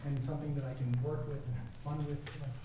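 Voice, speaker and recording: A man's voice: fairly high pitch, flat delivery, fast. A man earnestly and eagerly pleading his case to a town board, often repeating himself. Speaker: applicant at a public hearing. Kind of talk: casual plea to a board. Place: public meeting room. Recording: distant echoey room mic with hiss.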